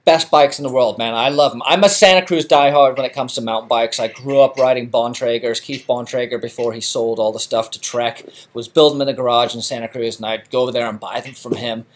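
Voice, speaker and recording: A man's voice: mid-range voice, fairly even intonation, a little fast, few pauses. A middle-aged man from England hosting a live stream, chatting casually with lots of fillers and restarts. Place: home garage.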